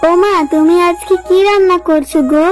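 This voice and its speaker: Woman as a very high-pitched little fox girl. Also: fairly even intonation, moderate pace, music in the background. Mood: sweet, eager. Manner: childlike, coaxing, earnest. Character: sweet, pleading little fox girl who longs for a football. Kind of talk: cartoon dubbing.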